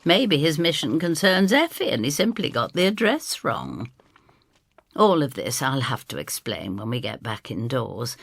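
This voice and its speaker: A woman giving expressive, theatrical first-person narration of a scripted story. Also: very deep voice, vocal fry, breathy edge, dry recording. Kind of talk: scripted dramatic narration in character.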